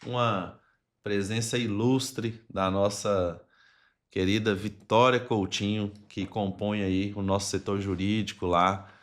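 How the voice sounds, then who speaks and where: A Brazilian man hosts a podcast in a deep voice. He speaks in formal, wordy sentences, setting things out as explanatory monologue. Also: fairly even intonation, slow. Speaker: podcast host.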